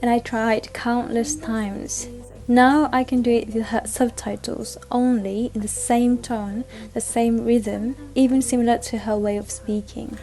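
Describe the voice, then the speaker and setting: A woman in her twenties from Japan, a native Japanese speaker with a Japanese accent, presenting a scripted explainer as a language vlogger in simple, deliberate, slightly non-native phrasing. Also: on the high side, steady tone, warm tone, soft background music. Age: 20s.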